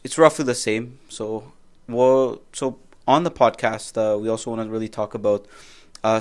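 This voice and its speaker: Friendly young man co-hosting a podcast. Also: lowish voice. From Canada. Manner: friendly, repeats words and restarts often. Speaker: podcast co-host. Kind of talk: casual podcast chat.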